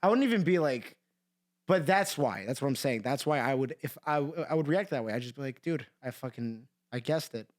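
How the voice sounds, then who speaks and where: A man's voice: medium pitch, animated, a little fast. A tired young man co-hosting a podcast, chatting casually in a rambling way with lots of swearing and filler words. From Iran. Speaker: podcast co-host. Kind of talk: casual chat.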